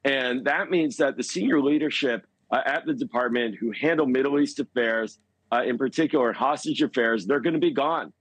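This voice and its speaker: A man with a mid-pitched voice works through analysis as a television news guest. He is measured and halting, leaning on key words for emphasis. The sound is dry, with very little room in it. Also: a bit bright, dry recording, low-quality recording. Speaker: foreign policy commentator, news guest. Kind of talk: live news interview analysis.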